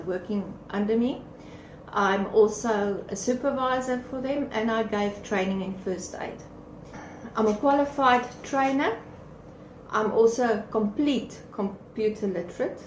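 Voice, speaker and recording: A woman's voice: medium pitch, unhurried, a little mellow. A woman in her fifties presenting herself for a job in a formal, confident way. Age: 50s. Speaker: job applicant. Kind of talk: prepared self-presentation. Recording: echoey room with clear background noise.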